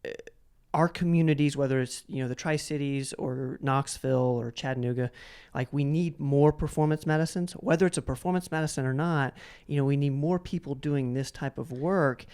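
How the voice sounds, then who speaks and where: A man's voice: fairly high pitch, brisk, a little mellow, smooth. A man hosting a casual interview, asking long, rambling questions and often doubling back on his words. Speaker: interview host.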